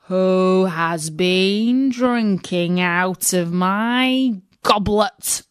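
A woman's voice: medium pitch, drawn-out words, clean tone, no room sound, studio quality. A woman voicing fussy, kindly little male dwarfs in an exclaiming manner for a children's storybook. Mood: indignant, puzzled. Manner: exclaiming, questioning.

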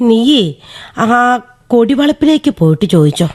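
A firm old Kerala aunt. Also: female voice, on the high side, very animated, moderate pace, dark tone. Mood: firm, practical. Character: elderly village aunt, matriarch of the household, firm. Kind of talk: Malayalam radio drama acting.

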